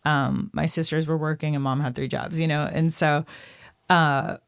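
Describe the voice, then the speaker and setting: A woman in her thirties from Texas, a guest musician telling her story in a casual podcast interview, chatty and lively, often laughing. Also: low voice, moderate pace, creaky, smooth, no room sound, clean studio sound. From Austin, Texas. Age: 30s.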